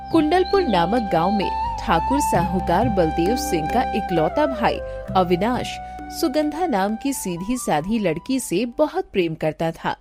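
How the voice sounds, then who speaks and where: A woman's voice: mid-range voice, a little mellow. A woman giving scripted storytelling narration for a cartoon moral tale.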